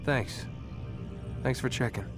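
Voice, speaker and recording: A man's voice: mid-range voice, takes it slowly, over music, natural voice. An actor playing a sarcastic, frustrated young man held captive, talking casually in a video game cutscene. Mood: subdued. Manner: sardonic, casual.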